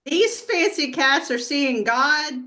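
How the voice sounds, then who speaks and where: A woman playing a brash, earthy abductee in a comedy sketch read, telling it in a blunt, folksy, deadpan way. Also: high voice, slow pace, heavily acted. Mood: matter-of-fact.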